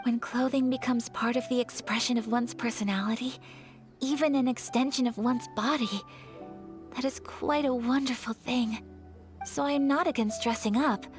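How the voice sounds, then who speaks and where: An actress playing a refined, gentle young noblewoman in a video game, with formal, polite and earnest phrasing. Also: on the high side, close to an everyday voice.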